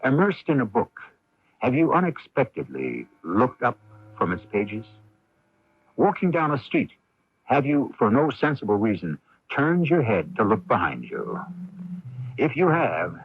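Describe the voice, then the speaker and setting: Male suspense narrator, lowish husky voice, widely swinging dramatic delivery, muffled old radio broadcast. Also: no room sound. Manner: dramatic, deliberate phrasing. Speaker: host of a mystery radio series.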